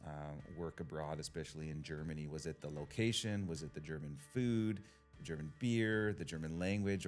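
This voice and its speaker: An American man from the Los Angeles area, interviewing a guest and asking long, layered questions. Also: a bass voice. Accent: American English. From United States, Los Angeles area. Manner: asks long, multi-part questions. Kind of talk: casual interview conversation.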